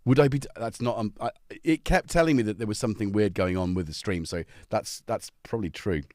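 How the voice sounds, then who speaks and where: A man's voice: fairly low pitch, brisk, darkish tone, dry recording, studio quality. A middle-aged man from North Wales with a North Welsh accent, chatting casually with viewers on a livestream and joking as he greets them by name. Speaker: livestream host. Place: home.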